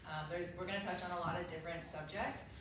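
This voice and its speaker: A woman, a dietitian with a low voice, giving a friendly conversational nutrition lecture and inviting questions. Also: steady tone, fast talker. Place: event room at a country club. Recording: very echoey room, distant, background noise.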